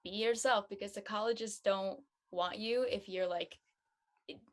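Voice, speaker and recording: A woman's voice: medium pitch, fairly even intonation, measured pace. A young woman talking casually as a panelist. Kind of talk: casual chat.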